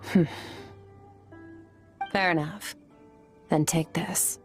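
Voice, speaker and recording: Aloof warrior woman: lowish, even and measured, coolly detached, faint music behind. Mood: resolute. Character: aloof powerful woman warrior, cool and composed. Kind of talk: video game voice acting.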